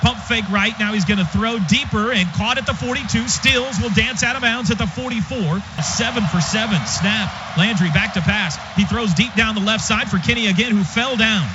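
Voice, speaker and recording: A man's voice: high voice, normal speed, few pauses. A man doing live play-by-play radio commentary on football in clipped running description. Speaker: play-by-play announcer. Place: stadium radio booth. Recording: dull radio broadcast, stadium noise behind. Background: clear crowd and stadium noise.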